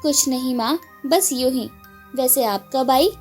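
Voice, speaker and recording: A woman voicing an earnest, devoted girl heroine in an animated story, troubled but determined. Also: high voice, unhurried, faint music behind. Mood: apologetic.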